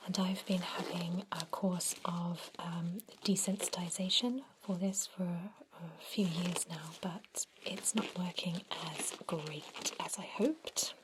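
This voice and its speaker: A woman whispering gently and breathily in an ASMR roleplay as a kindly vampire doctor, explaining things to a patient without hurry. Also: lowish voice, fairly even intonation, slow pace, hardly any pauses, noisy background.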